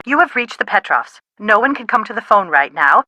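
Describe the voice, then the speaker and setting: A woman's voice: medium pitch, sing-song, a little fast, sharp tone, poor audio. A woman playing a mother in a game's telephone dialogue. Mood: recorded greeting. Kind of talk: game character phone dialogue.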